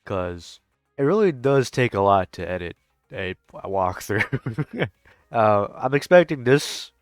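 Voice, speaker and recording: A man giving casual gameplay reaction commentary as a content creator, talking in an informal, rambling, halting way. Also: on the low side, sing-song, faint music behind.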